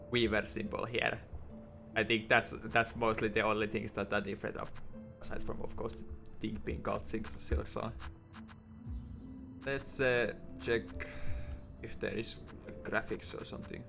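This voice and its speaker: A man's voice: fairly low pitch, gravelly. A young man, being his language, commenting on a game as he plays it, thinking aloud and often restarting his words. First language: Finnish. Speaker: gaming YouTuber. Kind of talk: lore-focused game commentary.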